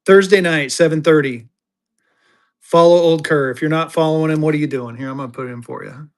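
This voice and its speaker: A man hosting a live-stream auction of paper money, chatty, jokey and excitable. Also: a little high, brisk. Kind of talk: casual live-stream selling chat.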